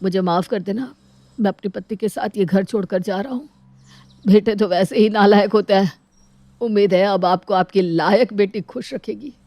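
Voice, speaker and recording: A woman's voice: mid-range voice, moderate pace, darkish tone, no room sound, close to an everyday voice. A woman voicing a hurt, dutiful grown son in a dramatic moral story, pleading earnestly and reproachfully. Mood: weary, resigned.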